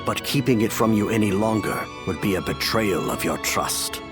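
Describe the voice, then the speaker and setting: An actor playing a grave, remorseful imperial official and adoptive father in a video game, with measured, formal delivery. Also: fairly low pitch, music in the background, lightly acted. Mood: solemn, explanatory. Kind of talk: video game cutscene acting.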